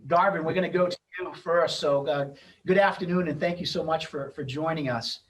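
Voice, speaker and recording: A man's voice: a little high, fairly quick, far from the mic, low-quality recording. A middle-aged man speaking as the host and moderator of an event, in a semi-formal, loosely scripted introducing style on a video-call microphone.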